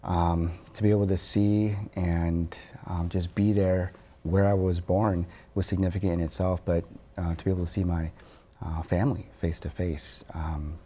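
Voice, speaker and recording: A man, a therapist and author, speaking softly and reflectively as a TV interview guest, pausing often with fillers. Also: deep voice, level tone. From born in Korea, raised in Wisconsin. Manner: soft-spoken, reflective, halting with fillers.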